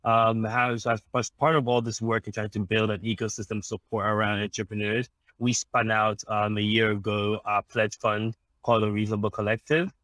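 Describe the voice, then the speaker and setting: A man from Ghana, an impact investor, answering interview questions in a measured, explanatory way with frequent ums and restarts. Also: on the low side, level tone, fairly bright tone, no echo.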